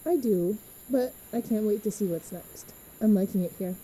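Casting: woman as easygoing teen girl, reassuring and casual, very soft dark tone with some husk, podcast drama. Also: medium pitch, moderate pace, breathy edge, close to an everyday voice, rough recording. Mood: reflective, reserved. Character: easygoing, reassuring teenage girl, new in town. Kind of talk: fiction podcast acting.

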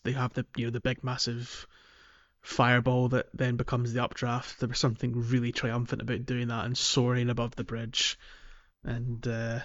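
A man's voice: mid-range voice, a bit bright, lo-fi sound. A man co-hosting a video game podcast in casual, enthusiastic chat, often feeling his way through a thought. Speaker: podcast co-host.

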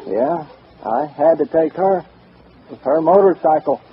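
A muffled old radio recording with faint noise carries an actor as a folksy American comedian, a man with a higher-set voice. He delivers easygoing vintage stand-up patter, built on simple gags. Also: a little slow, close to an everyday voice. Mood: joking, easygoing. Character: folksy male banjo comedian telling tall mother-in-law gags.

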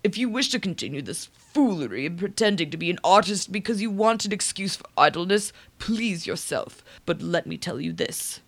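A woman playing an irascible, blustering old rich uncle with a creaky voice in a comic radio drama. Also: fairly low pitch, expressive. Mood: outraged, scornful. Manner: emphatic, halting repetitions when indignant.

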